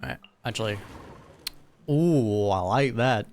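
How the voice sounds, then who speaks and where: A man's voice: on the low side, animated, measured pace, a little mellow, a little hiss or hum. A man giving casual, slangy live commentary as a gaming streamer, with wry asides. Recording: game music behind the commentary.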